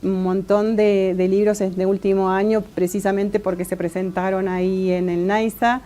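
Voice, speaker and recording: A woman with an Argentine accent answering interview questions thoughtfully, with many pauses and restarts. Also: medium pitch, level tone, dark tone. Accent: Argentine Spanish. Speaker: interviewee, political scientist.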